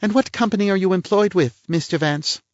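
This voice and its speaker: A man's voice: fairly quick, no echo, natural voice. A man voicing a haughty, theatrical middle-aged woman, huffy and affected in manner. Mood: cold. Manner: shrill, huffy, affected gentility. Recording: dull lo-fi, dry.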